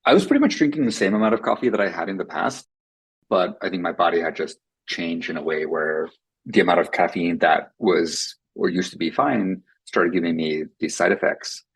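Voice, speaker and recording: A Finnish-native man explains his company's product in a measured, matter-of-fact way. His voice is deep, with a gravelly fry and a husky edge. The delivery is calm and explanatory. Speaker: company co-founder, guest. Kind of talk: conversational podcast interview.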